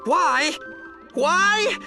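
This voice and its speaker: A woman's voice: very high voice, lively intonation, drawn-out words, exaggerated voice. A woman voicing a naive, brainwashed alien worker in a game cutscene, chatty and adoring. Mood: adoring, dopey. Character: giddy, brainwashed alien worker devoted to villain. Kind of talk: animated game cutscene acting. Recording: music behind voice.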